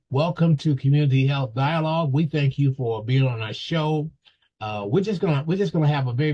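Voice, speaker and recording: Male talk-show host, unhurried and halting, slightly husky, recorded on muffled lo-fi audio. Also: medium pitch, dark tone. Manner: halting, many fillers and restarts. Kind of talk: casual interview.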